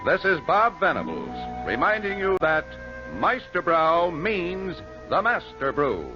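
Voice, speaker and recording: Lively 1940s radio announcer man. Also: sits high, measured pace, lo-fi sound. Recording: band-limited 1940s radio recording. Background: music.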